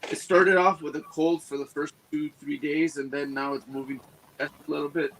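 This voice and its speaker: A man's voice: fairly high pitch, flat delivery, fairly quick, a bit bright, a little hiss or hum, poor audio. A man from Ontario, Canada, calling in as a guest. He is congested and losing his voice, and he talks in a friendly, laid-back way. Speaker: guest caller.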